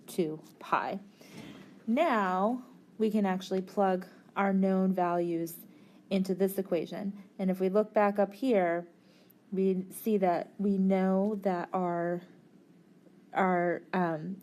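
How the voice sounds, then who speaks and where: A woman's voice: lowish voice, mellow. A woman tutoring physics, explaining a worked problem step by step in a methodical, informal way.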